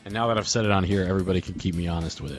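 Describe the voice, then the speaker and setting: A man with a fairly low voice reminisces casually on a group call. His talk is informal and rambling, full of slang, with music playing underneath. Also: very fast. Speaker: podcast host and audio drama group member.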